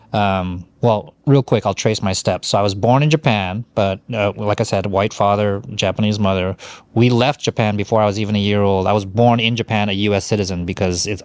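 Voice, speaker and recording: A man's voice: deep voice, clean tone, dry recording. A middle-aged man from Hawaii co-hosting a podcast, telling his life story in a rambling, casual way with many fillers and restarts. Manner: rambling, frequent fillers and self-corrections. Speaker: podcast co-host.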